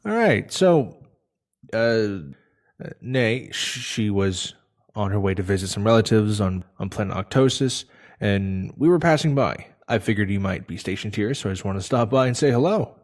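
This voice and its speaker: A man's voice: fairly low pitch, animated, moderate pace, close to an everyday voice. A man playing a friendly, hesitant visitor in casual audio drama dialogue. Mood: sheepishly friendly. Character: friendly, hesitant male visitor, old workmate.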